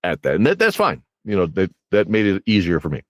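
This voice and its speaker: Low-voiced man, rambling podcast host. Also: wildly swooping pitch, brisk, no room sound. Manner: rambling, repeats words as he thinks. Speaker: host and game master interviewing a player. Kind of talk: casual podcast interview and storytelling.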